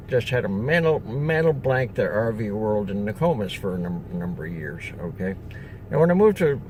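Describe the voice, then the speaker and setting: An older man talks through a casual self-introduction video in a low voice, rambling and restarting as he goes. He sounds chatty and unhurried. The recording is noisy, with passing vehicles clearly heard behind him. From upstate New York. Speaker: solar salesman.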